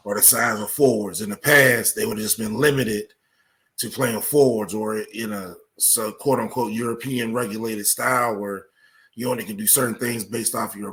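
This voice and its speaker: A man with an American accent speaking as a researcher guest in a podcast interview, explaining things in a measured way with examples. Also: fairly low pitch, fairly bright tone.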